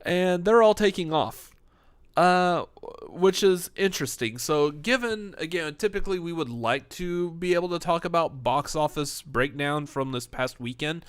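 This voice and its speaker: A man with a loud, radio-style voice that comes through smooth and resonant. He sounds tired, running on the last of his energy, and the sound is close and dry with no room around it. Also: a little high, expressive, moderate pace, no room sound. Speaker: podcast co-host. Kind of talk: casual chat on a live stream.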